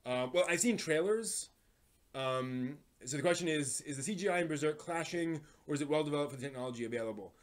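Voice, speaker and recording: A man's voice: fairly high pitch, lively intonation, fast. Rambling man hosting a casual anime stream. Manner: rambling, thinking aloud, digressive. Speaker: live stream host. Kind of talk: casual live stream chat about anime.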